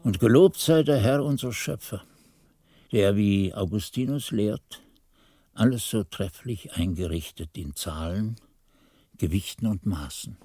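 A man playing a devout, learned monk who recalls his novice days in measured, reverent radio play narration. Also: lowish voice, dark tone, breathy edge, no echo. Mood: awed, devout. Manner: measured, reverent, ornate phrasing. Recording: music under the voice.

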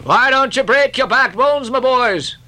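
Man acting a wheedling, high-pitched whaleboat mate. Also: expressive, measured pace, bright, forceful tone, heavily acted. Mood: jolly scolding. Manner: coaxing drawl mixed with playful scolding. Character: wheedling, rascally, jolly second mate urging his whaleboat crew. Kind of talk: audiobook character acting.

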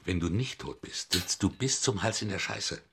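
A small-time male crook in a radio drama, with a deep, rough-edged husky voice. He is coarse, bitter and confrontational, forever accusing. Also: expressive, normal speed, a bit bright, full character voice. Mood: stunned, grim.

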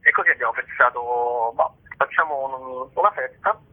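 A man's voice: medium pitch, moderate pace, husky. Mid-voiced man on a tinny phone line. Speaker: festival organiser, interview guest. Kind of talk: casual phone interview. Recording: narrow tinny telephone line.

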